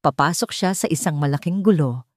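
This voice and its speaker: A woman's voice: low voice, fairly quick, darkish tone, dry recording, studio quality. A woman with a Filipino accent reading a dramatic scripted story as its narrator.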